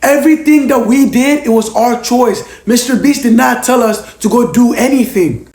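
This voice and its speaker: A hoarse young man who is losing his voice answers questions in a casual vlog, emphatic and tagging "okay?" onto his points. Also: extremely high pitch, normal speed, darkish tone. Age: young adult. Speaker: former reality show contestant. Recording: roomy echo.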